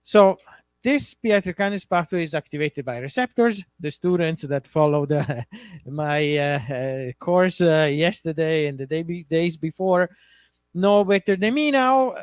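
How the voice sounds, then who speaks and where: A man with an Italian accent giving an academic lecture, speaking in a halting way with many filler sounds. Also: high voice, clean tone, no echo, studio quality. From Italy. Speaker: visiting professor giving a lecture.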